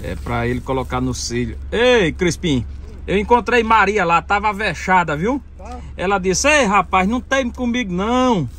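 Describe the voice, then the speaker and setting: A man narrates a casual vlog in a chatty way, laughing often. His voice sits a little high. Faint outdoor noise runs behind the phone recording. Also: expressive, normal speed, fairly bright tone. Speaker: vlogger. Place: outdoors, rural sertão and car.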